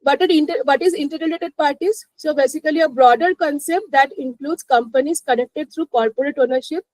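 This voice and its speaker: Webinar instructor: Indian-accented woman with a high-pitched voice, explaining conversationally, recorded dry. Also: darkish tone, no room sound, rough recording. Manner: repeats 'you know, like' and checks understanding. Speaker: presenter of a finance webinar. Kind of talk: live explanatory lesson.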